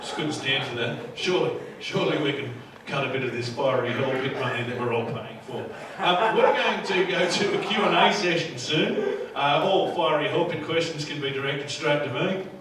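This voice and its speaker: A man's voice: fairly high pitch, normal speed, few pauses. A man moderating a panel casually, with dry, joking humour, heard in a live room. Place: workshop room before an audience. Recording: distant, echoey room with background noise.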